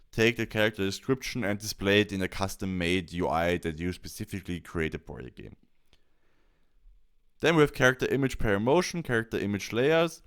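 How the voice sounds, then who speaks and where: A man presenting a software tutorial as a voice-over, explaining things step by step in plain, short instructional phrases. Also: mid-range voice, clean tone.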